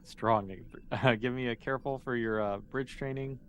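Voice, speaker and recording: A man leads a tabletop role-playing game in a casual, encouraging way. His voice sits at an ordinary middle pitch as he explains rules and scenes. A little noise sits behind him. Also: fairly even intonation, brisk, fairly bright tone. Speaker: game master.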